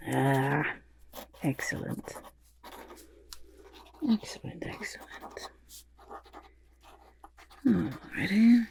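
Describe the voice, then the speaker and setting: A woman voicing an eccentric, self-satisfied goblin artist in an ASMR roleplay, chatty and boastful, with a creaky voice that often drops into whispers. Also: sits low, warm tone, a little hiss or hum, full character voice. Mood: smug, delighted. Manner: chatty, boastful, third-person self-talk, halting murmurs. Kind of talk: ASMR roleplay, personal attention.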